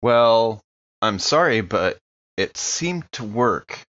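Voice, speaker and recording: A man's voice: mid-range voice, animated, slow, fairly bright tone, no room sound. A man playing an easygoing carnival worker in an improvised tabletop horror game, earnest, casual and full of questions, on a slightly dull microphone. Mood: earnest, curious. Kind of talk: improvised in-character tabletop dialogue.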